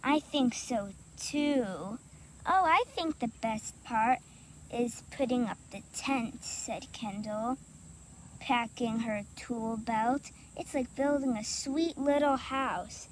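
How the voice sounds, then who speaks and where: High-voiced female reading along outdoors. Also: slow, poor audio. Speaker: co-host reading a children's book aloud. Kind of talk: casual chat and storybook read-aloud. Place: outdoors by a marshy trail. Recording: outdoor recording with clear insect and ambient noise.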